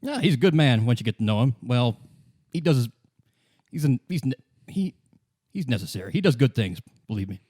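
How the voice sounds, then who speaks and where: A man's voice: mid-range voice, big pitch swings, darkish tone. Man playing a blunt, stubborn union vigilante boss. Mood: defensive, insistent. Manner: blunt, defensive, halting. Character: tough, defiant union vigilante leader, male, loyal, stubborn. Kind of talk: improvised tabletop roleplay.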